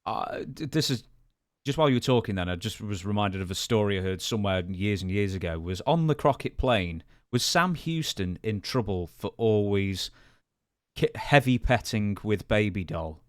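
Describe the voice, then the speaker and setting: A man's voice: lowish voice, expressive, moderate pace, no room sound, clean studio sound. A man interviewing casually as a podcast co-host.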